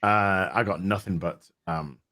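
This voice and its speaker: A man's voice: low voice, unhurried, sharp tone. A man hosting a casual live chat, reading out viewer donations and rambling through his points with many restarts. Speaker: host.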